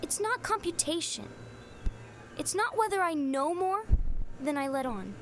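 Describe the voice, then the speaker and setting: Emphatic female machine, played by a woman, high lively voice, faint music, radio play. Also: a little slow.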